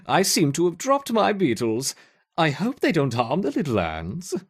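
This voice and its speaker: Husky, gleefully sinister scientist, swooping delivery. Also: male voice, fairly high pitch, wildly swooping pitch, moderate pace, heavily acted. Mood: gleeful, scheming. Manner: theatrical, relishing, mock-innocent. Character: gleefully sinister male scientist running cruel ant experiments. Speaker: lab researcher character. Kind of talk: video game character acting.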